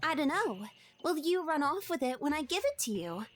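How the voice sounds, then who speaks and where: A woman voicing a bossy, unfazed little-girl scientist in an audio drama, teasing and brisk. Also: high voice, big pitch swings, soft background music. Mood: skeptical, probing. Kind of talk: fan audio drama acting.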